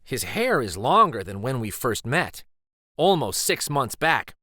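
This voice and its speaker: Male children's storyteller, slow and lively, with varied pitch for picture book narration. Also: no echo, studio quality. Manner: deliberate, animated storytelling delivery. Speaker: children's read-aloud storyteller.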